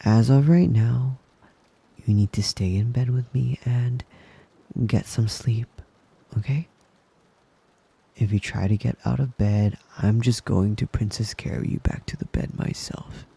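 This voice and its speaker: A man playing a possessive, doting young wolf-boy lover in intimate ASMR roleplay, coaxing and teasing in a breathy, husky voice that often drops to a whisper. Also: mid-range voice, steady tone, mellow, full character voice. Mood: coaxing, firm. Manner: slow, coaxing, teasing endearments.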